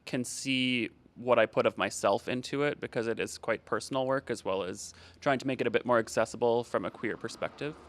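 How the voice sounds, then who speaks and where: A man from Canada, an artist talking about his work in a casual interview, hedging and pausing often. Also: mid-range voice, a bit bright. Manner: halting, hedging with fillers. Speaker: visiting artist.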